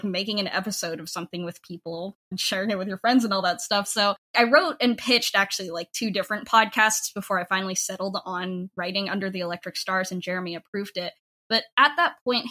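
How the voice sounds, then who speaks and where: A young adult woman talking casually and emphatically in an interview as a podcast creator, with an informal, animated way of speaking. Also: medium pitch. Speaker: podcast network head and audio drama writer.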